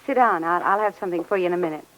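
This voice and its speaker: An actress playing a reserved wife in an old radio drama, speaking in a hesitant, guarded way. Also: female voice, fairly low pitch, rapid-fire, lightly acted. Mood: polite, hesitant. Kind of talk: 1940s-50s radio drama acting. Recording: noisy old radio recording.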